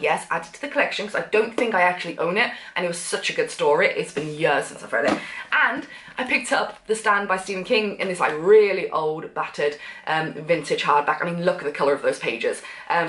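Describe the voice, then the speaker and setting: A woman talks to camera at a rapid clip, her voice a bit blocked up from a cough. She chats casually and animatedly from a sofa. The room around her rings with echo. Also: on the low side, a little hiss or hum. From England. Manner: chatty, animated, gestures while talking. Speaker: vlogger. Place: sunroom with sofa, indoors.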